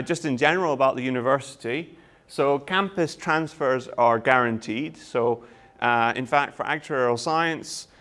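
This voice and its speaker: Brief: Scottish lecturer, man, 30s, presenting to students, halting with fillers. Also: mid-range voice, fairly bright tone. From Scotland. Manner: hesitant, frequent uh fillers and restarts. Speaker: university lecturer. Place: lecture room.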